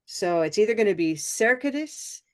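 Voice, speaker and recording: A woman's voice: medium pitch, animated, moderate pace. A woman teaching English pronunciation in an online class, encouraging and laughing often.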